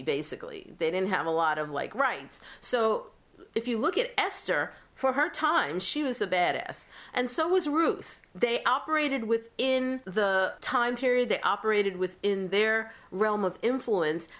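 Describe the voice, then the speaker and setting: Animated woman podcast co-host. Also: a little high. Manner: animated, laughs often, earnest preaching tone at times. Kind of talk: casual chat about faith and listener mail.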